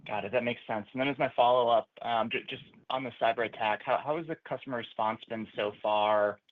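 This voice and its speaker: Male financial analyst, mid-range voice, fast and conversational, slight stammer, heard over a narrow telephone connection. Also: mid-range voice, steady tone. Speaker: financial analyst asking questions. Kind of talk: conversational question on an earnings call. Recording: narrow tinny phone line.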